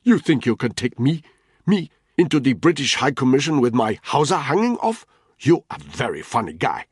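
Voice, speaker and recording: A man's voice: medium pitch, moderate pace, a little mellow, slightly rough, no room sound, clean studio sound, voice pushed up. A man voicing a boastful, chubby male Nigerian official with a Nigerian accent, arrogant and mocking.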